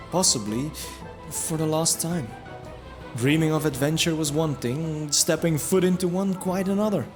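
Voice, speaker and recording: Man, medium pitch, reflective, scripted fantasy narration, first person, clear music bed. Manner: reflective storytelling.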